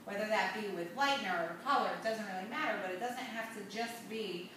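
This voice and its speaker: A woman's voice: mid-range voice, fairly quick, fairly bright tone. A young adult woman co-hosting a salon talk, explaining things in casual chat. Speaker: salon talk show co-host, hair stylist. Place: indoor hair salon seating area. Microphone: far camera. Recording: distant mic, echoey room, noisy background.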